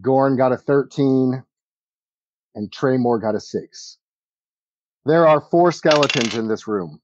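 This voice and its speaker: Slow, halting man running a tabletop game. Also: medium pitch, dry recording, lo-fi sound. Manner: halting, pauses between phrases. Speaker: game master. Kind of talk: improvised tabletop game narration.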